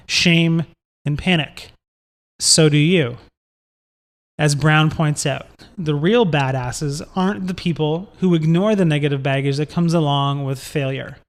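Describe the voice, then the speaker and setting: A man with a slightly high-pitched voice reads a scripted summary as a narrator. His delivery is measured and instructional, setting out each point in an explanatory style.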